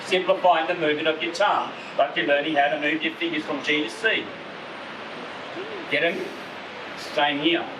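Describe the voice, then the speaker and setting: A man's voice: on the high side, flat delivery, normal speed, long pauses. A man teaching didgeridoo to a live audience, playfully drilling sounds over and over. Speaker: didgeridoo instructor. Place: tourist show venue. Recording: roomy, distant from mic, noisy.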